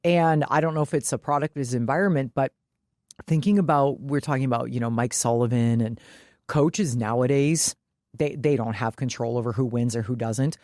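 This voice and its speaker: A woman's voice: a bass voice, moderate pace, a little mellow, no room sound, studio quality. A woman co-hosting a podcast in casual sports chat, opinionated and emphatic, swearing freely. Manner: freely profane. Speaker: podcast co-host.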